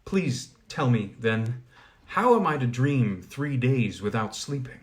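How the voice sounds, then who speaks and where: A man's voice: mid-range voice, lively intonation, slow. A man voicing an eager, polite young boy who asks questions.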